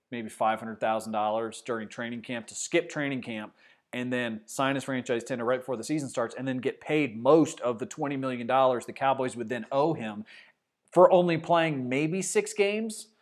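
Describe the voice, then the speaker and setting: A man's voice: mid-range voice, moderate pace. A man from Arkansas with a mostly neutral Midwestern-sounding voice, hosting a livestream show, rambling and sarcastic as he reads chat comments. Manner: rambling, sarcastic, trails off and restarts. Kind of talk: casual football talk, reading chat comments.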